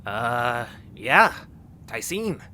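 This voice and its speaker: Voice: medium pitch, sing-song, extremely slow, sharp tone, heavily acted. A performer voicing a modest, self-doubting young sidekick creature in an audio drama, hesitant and bashful.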